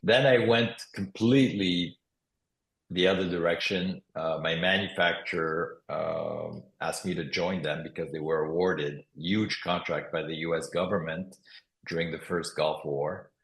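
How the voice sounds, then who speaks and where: A man from Morocco and Canada with a mixed accent, telling his story in a relaxed way. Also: low voice, unhurried, gravelly, echoey room. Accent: mixed foreign. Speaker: guest entrepreneur. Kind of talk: interview conversation.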